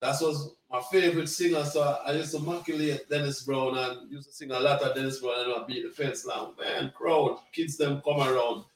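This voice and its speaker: A man in his sixties with a Jamaican accent, a reggae singer telling stories of his youth in a relaxed interview. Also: mid-range voice, moderate pace, hardly any pauses, roomy sound, recorded from a distance, poor audio. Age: 60s. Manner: relaxed, laughing, patois phrases. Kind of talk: casual interview storytelling.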